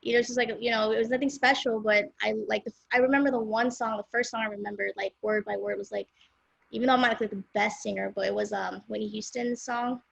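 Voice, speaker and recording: A young woman from Los Angeles chats as a guest, her voice heavily creaky with vocal fry. She rambles easily, full of "um" and "like", in a dry, close sound with no room around it. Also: a little high, fast talker, dry recording, rough recording. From South Central Los Angeles, USA. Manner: relaxed, rambling, with many fillers like "um" and "like". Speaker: guest singer-songwriter. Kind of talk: casual interview chat.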